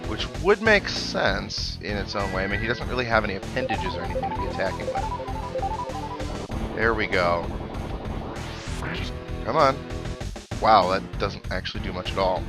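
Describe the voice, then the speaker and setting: Guy doing casual lets play commentary, thinks out loud, game music going behind him. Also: lowish voice, sing-song, normal speed, long pauses. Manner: thinks aloud, analytical asides. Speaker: let's player. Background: video game music and sound effects.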